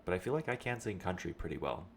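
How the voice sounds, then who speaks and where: A young man with a low voice, chatting casually and thinking aloud while streaming a game. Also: animated, fast, creaky. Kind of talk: casual let's-play chat.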